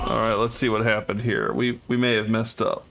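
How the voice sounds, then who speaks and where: A man's voice: fairly low pitch, creaky, background noise. A man casually commenting on his co-op game as he plays, musing aloud and laughing often. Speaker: let's play host. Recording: game music behind voice.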